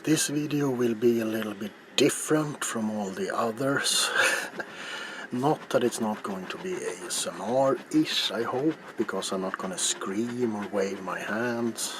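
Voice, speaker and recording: A middle-aged man narrating a craft in a soft-spoken, hesitant ASMR style that slips into whispers. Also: lowish voice, a little slow. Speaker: ASMR video maker. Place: small cluttered indoor home workroom. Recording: clear background room noise.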